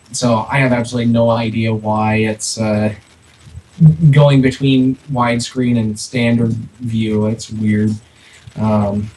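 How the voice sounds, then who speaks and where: A man's voice: fairly low pitch, a little mellow. A man co-hosting a livestreamed podcast in casual conversation, with slightly echoey audio. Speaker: podcast co-host. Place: on a livestream with a webcam. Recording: echoey audio, faint background noise.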